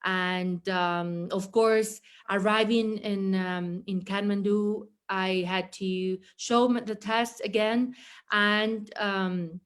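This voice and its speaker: A woman from Latin America, a mountaineer, answering interview questions in English with many fillers and restarts. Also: mid-range voice. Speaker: mountaineer guest.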